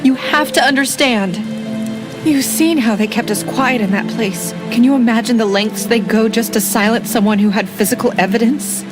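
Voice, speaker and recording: Woman voicing anxious young woman, hesitant, pleading, loud game music. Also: a little high, moderate pace, natural voice. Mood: confessing. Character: anxious, guilt-ridden young woman confessing.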